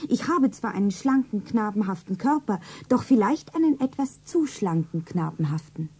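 A woman's voice: mid-range voice, expressive, mellow. An actress playing a lovestruck, ordinary young working girl who earnestly narrates her own romance in a stylised 1970s radio play. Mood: dreamy narrating. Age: young adult. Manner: breathy, earnest, deliberate self-narration.

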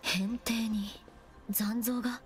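A woman voicing a young female game adventurer who thinks aloud in a measured, composed, observant way. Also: a little high, flat delivery. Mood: calm, focused. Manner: measured, composed, quietly musing. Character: young female wanderer, calm, observant puzzle-solving explorer. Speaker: game protagonist. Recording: game music over the voice. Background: game music.

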